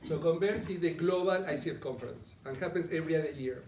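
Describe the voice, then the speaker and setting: A man's voice: a little high, fast, distant mic, noisy background. A middle-aged man with an Argentinian accent, giving a conversational live presentation and asking the audience questions. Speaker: coaching presenter. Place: meeting room with a live audience. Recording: echoey room, voice from afar.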